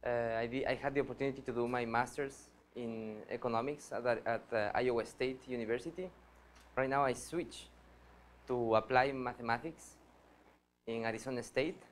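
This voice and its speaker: A man in his thirties from Ecuador presents at a research workshop. His voice sits at an ordinary middle pitch, and he speaks earnestly, stopping often to restart his sentences. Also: fairly even intonation, moderate pace, a little hiss or hum. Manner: hesitant, many fillers and restarts, earnest. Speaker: PhD student presenter. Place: lecture hall.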